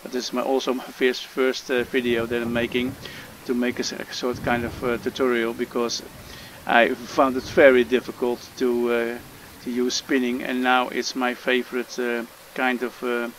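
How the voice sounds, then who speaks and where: A man's voice: medium pitch, monotone, moderate pace, hardly any pauses, fairly bright tone, slight background noise, rough recording. A man from the Netherlands with a Dutch accent, talking through a fishing game tutorial with many hesitations and fillers. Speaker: gamer making a tutorial. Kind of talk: casual gameplay tutorial commentary.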